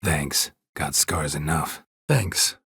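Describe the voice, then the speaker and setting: An American man voicing a stoic, world-weary, middle-aged monster hunter in a video game, with a gravelly, husky voice and a deadpan, clipped delivery. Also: rumbling low, takes it slowly, no room sound, clean studio sound, exaggerated voice. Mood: brighter, engaged. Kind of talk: video game character dialogue lines.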